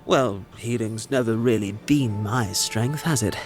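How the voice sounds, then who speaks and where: A man's voice: on the low side, unhurried, faint music behind, lightly acted. A man playing a vain, offhand god in a fantasy audio drama, with a flippant drawl. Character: vain, offhand male god, needling and self-regarding.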